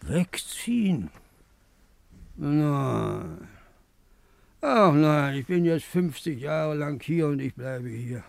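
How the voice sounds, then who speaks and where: An actor playing a frail, pleading old man in a 1950s radio play, halting, wistful and coaxing. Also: fairly high pitch, big pitch swings, creaky, dry recording, full character voice. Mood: wistful, stubborn. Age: older.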